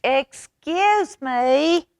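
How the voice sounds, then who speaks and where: Woman acting exasperated young boy, pitched up. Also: lively intonation, very slow, no room sound, studio quality, exaggerated voice. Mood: loud exasperation. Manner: polite but increasingly fed up, emphatic. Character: exasperated young boy complaining in a noisy library.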